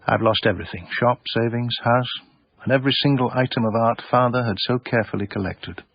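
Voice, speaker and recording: Husky, genteel, melancholy Englishman. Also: lowish voice, no room sound, muffled recording. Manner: literate, wistful, precise. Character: genteel, melancholy ruined art dealer, earnest and aggrieved. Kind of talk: radio drama narration and dialogue.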